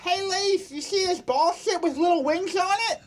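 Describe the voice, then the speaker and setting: A man voicing a small, chirpy female companion in a squeaky falsetto. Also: moderate pace. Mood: eager.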